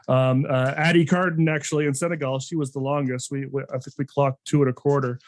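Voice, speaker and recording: A man's voice: medium pitch, flat delivery, brisk, a little hiss or hum. A man hosting a podcast interview, talking casually in a rambling way with many restarts and fillers. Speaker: podcast host.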